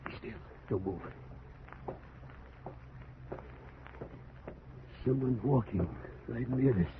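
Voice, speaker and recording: An actor playing a short-tempered, domineering planter husband in an old-time radio drama, blustering and uneasy, on a thin, noisy vintage recording. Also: male voice, medium pitch, normal speed, phone-quality sound, close to an everyday voice. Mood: hushed, uneasy. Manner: blustering, barking orders.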